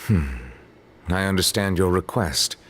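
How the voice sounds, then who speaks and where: An actor playing a grave, dignified high official in a video game, speaking in a courtly, formal and deliberate way. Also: male voice, a bit bright. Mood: reserved, skeptical. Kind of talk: scripted video game voice acting.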